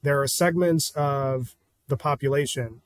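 A man's voice: mid-range voice, a little slow, dry recording. A man hosting a podcast, asking a guest questions in a friendly, easygoing, chatty way. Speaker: podcast host. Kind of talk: interviewing a guest.

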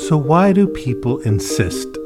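A man's voice: fairly high pitch, sing-song, unhurried. A man narrating a scripted science podcast as its host. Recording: music behind the voice.

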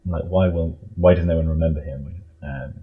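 A man chatting casually as an interview guest, in a rambling, halting way that often doubles back on itself. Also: a bass voice, steady tone, husky, narrow, tinny audio. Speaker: audio drama creator, interview guest.

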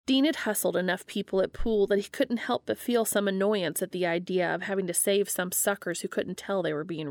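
A woman hosting a podcast, reading fan fiction aloud in a steady, even storytelling manner. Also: fairly low pitch, no echo, clean studio sound. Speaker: podcast host and reader.

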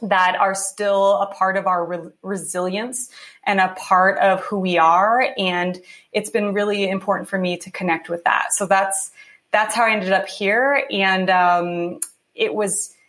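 A young woman from California talks through her own story as a podcast guest. Her tone is reflective and unhurried, and she often pauses on fillers and restarts her sentences. Her voice sits at a middle pitch. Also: fairly even intonation, fairly bright tone. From California, USA. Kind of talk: casual interview.

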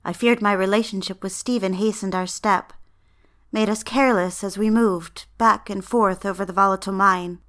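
An actress playing an anxious, romantic young bride-to-be in a period drama, speaking in a genteel, earnest, old-fashioned way. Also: female voice, mid-range voice, fairly even intonation, normal speed, a bit bright, natural voice. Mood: ominous, confessional. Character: anxious young bride-to-be office woman, reflective romantic narrator. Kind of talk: dramatized first-person narration and dialogue, period radio drama.

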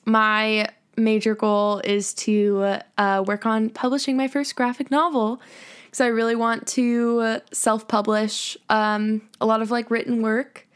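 A bubbly woman of twenty talks in a relaxed, giggly way. Her voice is smooth and clear and sits a little high. She chats easily, laughing often. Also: a little slow. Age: 20s. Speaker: podcast co-host, illustrator. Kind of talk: casual chat.

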